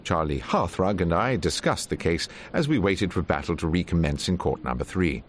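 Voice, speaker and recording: An actor playing a wry, shrewd English defence barrister in a radio drama, with dry, measured delivery. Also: male voice, sits low, animated, slight background noise. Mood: wry narration. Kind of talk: radio drama acting and first-person narration.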